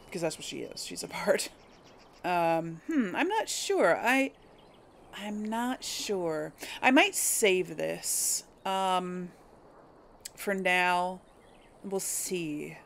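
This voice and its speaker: A woman's voice: lowish voice, animated, extremely slow, gravelly. A woman doing casual game commentary, thinking aloud in a tentative way. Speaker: gaming video host and player. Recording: some background noise.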